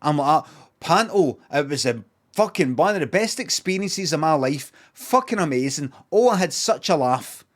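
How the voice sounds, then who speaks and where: A man's voice: fairly high pitch, expressive, normal speed. A Glaswegian Scottish man chatting casually on a livestream, rambling, swearing freely and repeating himself for emphasis.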